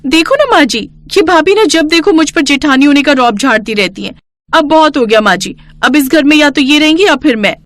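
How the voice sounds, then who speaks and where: A woman's voice: sits high, expressive, fairly quick, dry recording, pitched above the performer's own voice. A woman voicing a haughty, boastful young daughter-in-law in a story, gloating and taunting as she talks. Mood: indignant whining.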